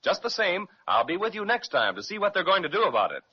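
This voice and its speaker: A man's voice: sits high, big pitch swings, quick pace. A man narrating a 1930s children's radio serial in a theatrical style. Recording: muffled 1930s radio transcription with music.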